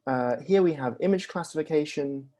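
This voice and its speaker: Brief: young man, lecturer, easygoing and unscripted, mellow, warm tone, lots of "um". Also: fairly high pitch, moderate pace. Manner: relaxed, many ums and restarts, asks rhetorical questions. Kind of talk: conversational university lecture.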